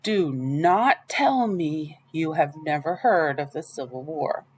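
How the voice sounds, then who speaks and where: Genteel old female librarian for a children's storybook, voiced by a woman, emphatic and theatrical, lowish pitch. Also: animated, slow, husky, heavily acted. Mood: grave, dramatic. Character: elderly female librarian, genteel, dramatic, fond of stories.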